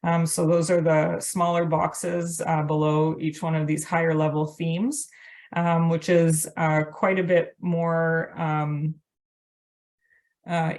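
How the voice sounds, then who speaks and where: A woman walking through slides at a workshop, pausing often on ums and uhs as she explains. Her voice sits very low and stays level, with little rise or fall. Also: darkish tone. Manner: hesitant, with frequent ums and uhs. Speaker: workshop presenter. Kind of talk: presenting slides at an online workshop.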